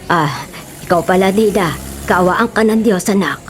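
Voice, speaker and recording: A woman's voice: mid-range voice, normal speed, a little hiss or hum, lightly acted. A woman acting a friendly middle-aged auntie who sells street snacks in a radio drama.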